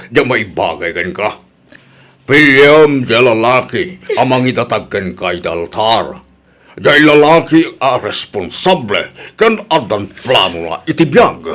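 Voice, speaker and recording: Animated, stern Ilocano father played by a man. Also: medium pitch. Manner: scolding, emphatic. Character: stern, disapproving father lecturing his grown daughter. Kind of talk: Ilocano audio drama acting.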